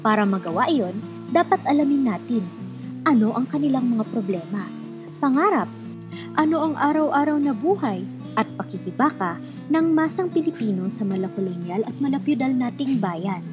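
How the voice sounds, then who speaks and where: High-pitched woman hosting radio. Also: animated, moderate pace, over music. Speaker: radio program host. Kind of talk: scripted radio show hosting, addressing listeners directly.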